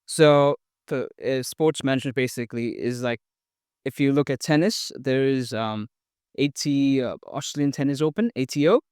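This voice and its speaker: A young man from India speaks in a smooth, clear voice, recorded close and dry with no room sound. He talks casually and haltingly, full of ums and restarts, as he tells his story to a podcast host. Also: medium pitch, a little mellow, no room sound. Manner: hesitant, many fillers and restarts. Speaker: podcast guest. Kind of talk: casual interview, sharing personal story.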